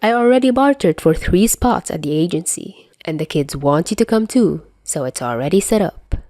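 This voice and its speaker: A woman voicing a calm, amused, matter-of-fact man in a story reading. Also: lowish voice, moderate pace, no room sound, lightly acted. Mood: calmly amused. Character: calm, amused, unhurried man, older mafioso.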